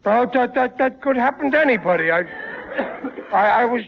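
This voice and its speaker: An actor playing a bumbling, excitable American working-class father in 1940s radio comedy, stammering and repeating his words. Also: extremely high pitch, normal speed, vocal fry, exaggerated voice. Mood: embarrassed, defensive. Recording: muffled, noisy vintage radio broadcast.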